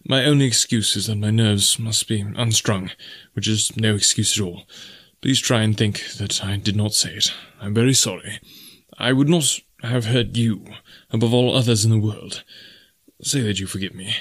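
A male reader plays a young English gentleman in an adventure tale, worn out and quick to feel guilt. He speaks rather low, with formal courtesy and earnestness. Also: breathy edge, no room sound. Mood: apologetic.

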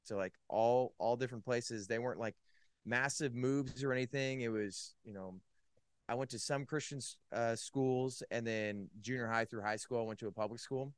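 A man's voice: medium pitch, normal speed, smooth, dry recording. A man from California, a podcast guest, telling his faith story in a casual, rambling way with many "likes" and restarts. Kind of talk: casual personal testimony.

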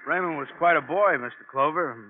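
A man's voice: fairly high pitch, a little fast, faint music behind, close to an everyday voice. A man playing a defensive working-class foreman in old radio crime drama, with a slangy way of talking. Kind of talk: 1940s-50s radio crime drama acting. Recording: tinny, noisy old radio broadcast.

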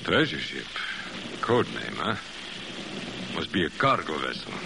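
An actor playing a confident, gloating submarine captain in an old radio drama. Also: male voice, a bass voice, very animated, a little slow, gravelly, full character voice. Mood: intent, commanding. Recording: noisy old radio broadcast recording.

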